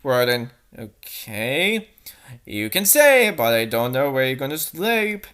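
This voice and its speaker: A woman acts a male bunny shopkeeper from a cartoon story, dropping the pitch low. He is hesitant and grudging, and talks haltingly. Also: big pitch swings, fairly bright tone, exaggerated voice.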